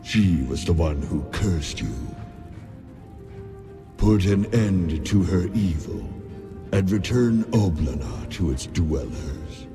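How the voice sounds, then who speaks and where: Deep, slow ancient guardian spirit. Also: male voice, expressive, music in the background, exaggerated voice. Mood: grave, commanding. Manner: grave, formal, deliberate. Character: solemn ancient guardian spirit, a stern protector. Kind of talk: fantasy game cutscene acting.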